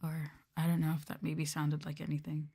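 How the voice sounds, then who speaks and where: A woman's voice: low voice, monotone, fairly quick, creaky, dark tone, slightly rough. A woman streamer chatting casually on an ASMR livestream, playful, giggly and a bit hesitant, close to the mic. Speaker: VTuber streamer.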